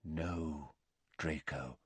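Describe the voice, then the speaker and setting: A man voicing a wise old wizard in an audiobook, measured and polite, calm with a gently wry manner. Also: mid-range voice, no echo, pitched above the performer's own voice. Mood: quiet firmness.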